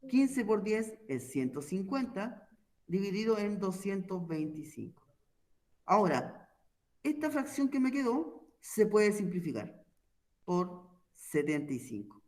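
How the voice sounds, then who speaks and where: This is a man with a noticeably high voice, teaching a math class for a recorded online lesson. He explains step by step in a steady, patient way and keeps pausing to check that his students are following along.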